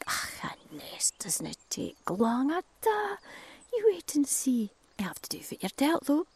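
Woman whispering as a gruff Scottish crow. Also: high-pitched, wildly swooping pitch, a little slow, a little mellow, no echo, voice pushed up, exaggerated voice.

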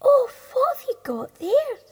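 A woman doing shrill, catty beetles. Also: huge pitch swings, unhurried, warm tone, full character voice. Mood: nosy. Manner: shrill, mocking. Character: catty, sneering crowd of lady beetles.

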